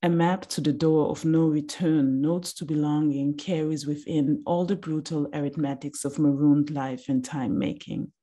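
A woman in her thirties giving a slow, deliberate reading of a reflective text over a video call from a small room. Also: low-pitched, fairly even intonation, warm tone. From Austria.

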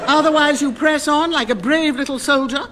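A woman's voice: sits high, normal speed, fairly bright tone, a faint crowd. An actress playing an imperious, sarcastic middle-aged English woman who runs an airline in a radio sitcom. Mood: stern, sarcastic. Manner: withering, sarcastic, bossy.

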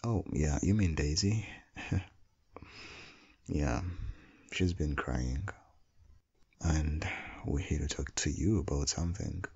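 A man plays a tender, playful young husband and father in an ASMR roleplay. His voice sits very low, crackling with fry, and he takes his time soothingly. The sound is a little dull. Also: warm tone, exaggerated voice. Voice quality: low, gravelly, husky. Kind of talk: intimate ASMR boyfriend audio roleplay.

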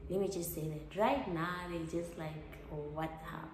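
A woman's voice: fairly low pitch, moderate pace, background noise. A young South African woman chatting casually to camera in a vlog, sounding tired and mixing English with isiZulu phrases. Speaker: vlogger. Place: in a car, later at home indoors.

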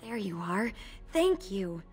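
An actress playing a sweet, earnest young elf bride in a video game, gentle and grateful. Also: female voice, mid-range voice, big pitch swings, slow, breathy edge, soft background music, exaggerated voice. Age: young adult.

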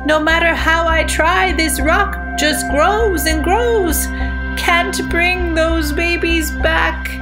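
A woman playing a proud buzzard chief in a storybook, chanting slow and steady. Faint music plays behind. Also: a little high. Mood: strained. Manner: sung chant.

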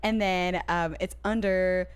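A young woman from Minnesota, a singer guest in a radio studio, chatting in a relaxed way full of likes and ums. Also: on the low side, slow, clear, resonant tone. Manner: relaxed, filler-heavy, says like and um often. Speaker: singer, band member guest. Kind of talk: casual interview chat. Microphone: studio mic on arm, headphones.

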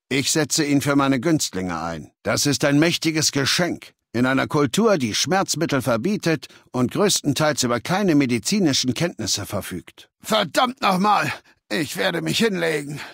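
An actor playing a commanding, arrogant warlord in a video game, speaking in a measured, authoritative and condescending way. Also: male voice, mid-range voice, fairly bright tone, dry recording, clean studio sound. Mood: lecturing, proud, then angry. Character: commanding, arrogant male warlord, cold and imperious, ailing. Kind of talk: acted video game dialogue.

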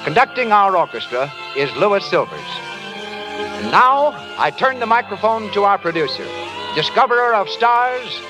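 High-pitched 1930s radio announcer, man. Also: very animated, moderate pace, rough recording. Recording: old 1930s radio broadcast with music.

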